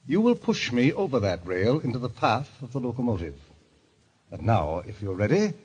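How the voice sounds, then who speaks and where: An actor playing a suave, sinister gentleman who runs a deadly gambling club, formal and coolly courteous, in old-time radio drama style. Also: mid-range voice, very animated, moderate pace, breathy edge. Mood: calm, formal. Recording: muffled vintage radio recording.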